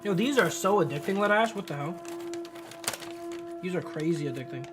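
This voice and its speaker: A man streams a game and talks casually to his viewers. His talk is slangy and sweary, and music plays clearly behind him. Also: high-pitched, expressive, normal speed. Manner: slangy, profane, addresses viewers. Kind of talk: casual live-stream chat and read-aloud of a visual novel. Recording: music under the voice.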